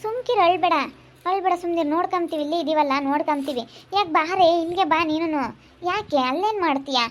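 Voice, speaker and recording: Woman playing concerned elder sister, piercingly high voice. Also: fast. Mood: consoling. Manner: consoling, insistent questioning.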